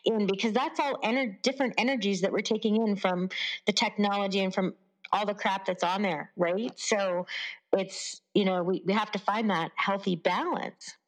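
A woman's voice: mid-range voice, steady tone, moderate pace, low-quality recording. A Canadian woman journalist talking as a guest in a casual interview, earnest and reflective, laughing often.

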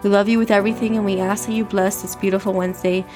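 A woman's voice: mid-range voice, flat delivery, quick pace. An American woman with a stuffy, slightly nasal voice hosts a devotional podcast, speaking conversationally. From Miami, Florida, USA. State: stuffy, congested nose. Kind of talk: conversational devotional talk and prayer. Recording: music bed behind the voice. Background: background music.

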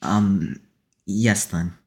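A man's voice: low-pitched, extremely slow, darkish tone, breathy edge, exaggerated voice. A man playing a shy, guarded, awkward young man who stammers hesitantly in an audio drama. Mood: nervous, guarded.

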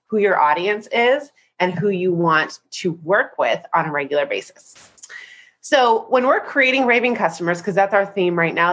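A woman's voice: on the low side, a bit bright. A woman business coach teaching on a training call, congested from a head cold, upbeat and chatty, often checking in with "right?".

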